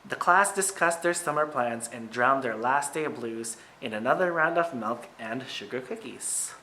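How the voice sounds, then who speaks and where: A man who is a teacher reading a picture book aloud to children, with expressive, emphatic phrasing and short asides to his listeners. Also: mid-range voice, moderate pace, a little hiss or hum.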